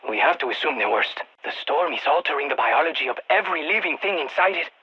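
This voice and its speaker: A man's voice: a little high, animated, quick pace, breathy edge, muffled recording. A man playing an eager, geeky field scientist in a video game, giving precise technical briefings to soldiers over radio comms. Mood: grave. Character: excitable nerdy male field scientist guiding soldiers by radio. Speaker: mission-support scientist character in a shooter game.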